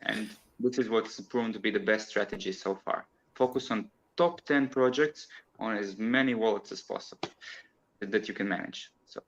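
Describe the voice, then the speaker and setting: A man's voice: fairly low pitch, moderate pace, highs cut off. A man from Croatia, a guest on a casual online chat, talking in a chatty way and laughing often as he explains.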